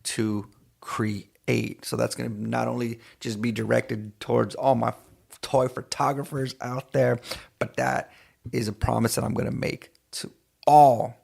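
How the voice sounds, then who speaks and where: A man from East Los Angeles with a gravelly voice, chatting casually to listeners as a radio DJ and show host, repeating phrases as he goes. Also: medium pitch, flat delivery, unhurried, a little mellow. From East Los Angeles, California. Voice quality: gravelly, creaky voice. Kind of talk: casual radio DJ chat between songs.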